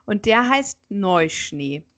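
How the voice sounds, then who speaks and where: A woman's voice: mid-range voice, sing-song, takes it slowly, a bit bright, no echo. A woman hosting a casual interview in a chatty, curious, giggly manner. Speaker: podcast host.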